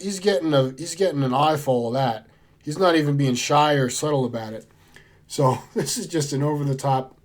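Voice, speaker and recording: Hoarse, chatty man raving about old books. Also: fairly high pitch, darkish tone. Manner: enthusiastic, chatty, laid-back. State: hoarse from a cold. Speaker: book vlogger. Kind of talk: casual book haul chat.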